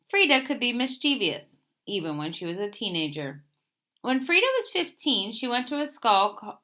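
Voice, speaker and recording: Female storyteller for picture book read-aloud. Bright tone, highly varied pitch, expressive phrasing. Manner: expressive, emphatic phrase openings that settle at sentence ends.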